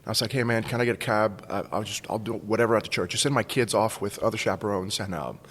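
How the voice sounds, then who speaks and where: A man's voice: fairly low pitch, quick pace, fairly bright tone, slightly rough, long reverb tail. A man in his forties from Cuba, casually telling a personal story as a podcast guest. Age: 40s. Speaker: school staff member, podcast guest.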